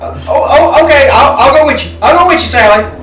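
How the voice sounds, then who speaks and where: Shrill, frantic horror film character. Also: male voice, brisk. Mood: panicked, whining. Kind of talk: 1970s horror film acting. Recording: echoey old film clip with music.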